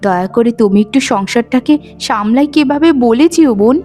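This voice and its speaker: A woman playing a patient, kind housewife in a cartoon moral story, with a gentle, coaxing way of speaking. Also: a little high, a little fast, close to an everyday voice. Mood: patient, pleading. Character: patient, kind elder daughter-in-law and soft-spoken mother-in-law. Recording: music under the voice.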